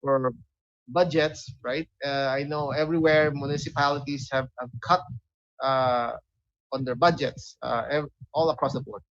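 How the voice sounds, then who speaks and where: A man with a Filipino accent chatting as a radio show co-host, halting and often pausing to search for words. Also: mid-range voice, steady tone, a bit bright, dry recording, dull audio. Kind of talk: casual talk show chat.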